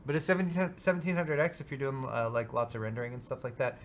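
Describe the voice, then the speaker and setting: A man's voice: medium pitch, fast, a little hiss or hum. A man co-hosting a casual live show, talking in an offhand, tongue-in-cheek way with dry humor. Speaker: co-host of a live tech show. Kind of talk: casual banter and tech commentary.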